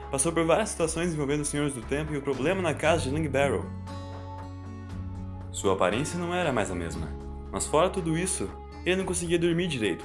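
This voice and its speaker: A man with a Brazilian accent narrating a scripted audio story. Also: medium pitch. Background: music.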